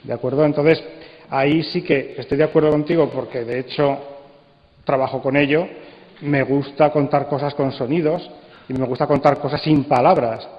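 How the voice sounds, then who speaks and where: A man's voice: medium pitch, fairly even intonation, normal speed. A man answering questions as a congress speaker, assertive and argumentative, explaining with rhetorical questions. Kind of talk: spontaneous panel answer. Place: large indoor congress hall. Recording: echoey hall, long reverb, dull sound.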